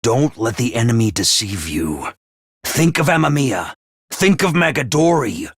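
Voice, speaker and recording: A man voicing a brisk, ruthless academy commander in a video game, barking orders and announcements. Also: medium pitch, big pitch swings, husky, dry recording, studio quality, full character voice. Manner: clipped orders and announcements, drill-sergeant bark. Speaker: voice actor in a game.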